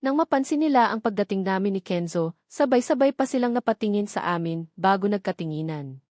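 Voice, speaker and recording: A woman's voice: medium pitch, animated, fairly quick, a little mellow, no echo, studio quality. A woman with a Filipino accent narrating a first-person romance novel from a script. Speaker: audiobook narrator.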